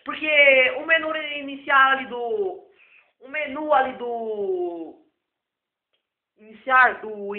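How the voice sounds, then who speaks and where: A hoarse man with a very high voice rambles through an improvised computer lesson, circling back over his own points. The recording is thin and phone-like, in a roomy space with lots of echo. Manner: rambling and repetitive. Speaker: self-taught computer tutor. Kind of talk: improvised spoken tech lesson.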